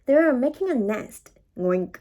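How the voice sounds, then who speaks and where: A woman voicing a calm, helpful little pig from a children's storybook, answering matter-of-factly in short lines. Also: on the high side, unhurried, lo-fi sound.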